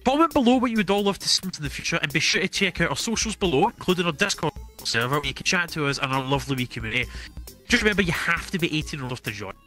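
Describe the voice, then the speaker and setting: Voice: medium pitch, lively intonation, rapid-fire, pushed and bright, faint music behind. A channel host, giving a friendly sign-off to viewers.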